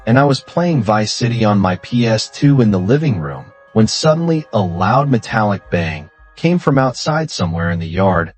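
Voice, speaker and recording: Low-voiced man narrating horror stories. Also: breathy edge. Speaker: horror story channel narrator. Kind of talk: scripted first-person horror story narration. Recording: music bed under voice. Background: music.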